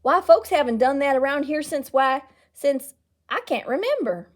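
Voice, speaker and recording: A woman voicing a blustering, folksy small-town mayor in a storybook, loud and emphatic. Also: high voice, animated, moderate pace. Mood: indignant.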